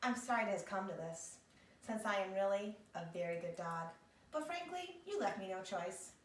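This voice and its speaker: A woman's voice: mid-range voice, animated, normal speed, a little hiss or hum, lightly acted. A woman voicing a melodramatic, self-pitying male pet dog with theatrical, wheedling complaints in a picture-book read-aloud. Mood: dramatic, forlorn. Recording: echoey room, distant microphone.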